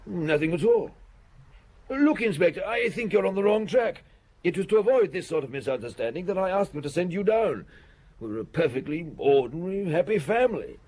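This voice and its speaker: High-pitched, husky, proper gentleman. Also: lively intonation, fairly quick. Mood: defensive. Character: polite, insistent, defensive gentleman of respectable family. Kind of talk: radio drama acting.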